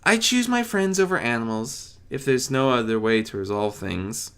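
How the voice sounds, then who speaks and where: Animal-loving pegasus mare, acted by a man: raised pitch, gentle, cool, quietly stern. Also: expressive, moderate pace, smooth. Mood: accepting.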